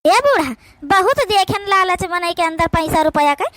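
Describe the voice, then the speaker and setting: Cartoon village daughter-in-law played by a woman: extremely high pitch, Awadhi accent, brash, indignant, argumentative, very dry close sound. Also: animated, normal speed, fairly bright tone, no echo. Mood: indignant scolding. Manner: scolding, argumentative, rustic. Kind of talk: cartoon comedy dialogue.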